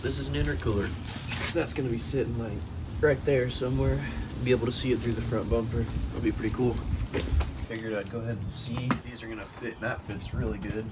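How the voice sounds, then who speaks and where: A man's voice: mid-range voice, heavy background noise. A young man in his twenties rambling and joking as he shows parts and fits hoses on a car project, mostly outdoors in a driveway and filmed on a handheld camera. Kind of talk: casual DIY car build vlog.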